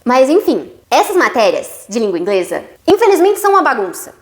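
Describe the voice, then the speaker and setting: A young woman with a Brazilian accent, a university student chatting to her viewers in a casual explanatory vlog, with lively asides. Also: a little high, a little fast. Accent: Brazilian Portuguese. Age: young adult.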